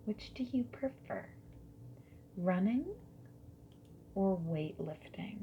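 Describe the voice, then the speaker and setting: A woman doing a soft-spoken ASMR roleplay as a survey interviewer, gently and politely asking questions in a breathy voice that often drops into whispers. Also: mid-range voice, expressive, slow pace. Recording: lo-fi muffled audio with noise. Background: mechanical keyboard typing.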